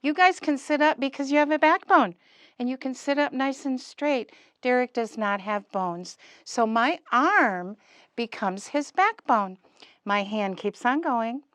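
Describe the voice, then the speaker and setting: A woman presents a puppet lesson to young children at a slow pace. She speaks in short, simple, emphatic phrases and often puts questions to them. The sound is dry, with little room echo. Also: on the high side, fairly bright tone.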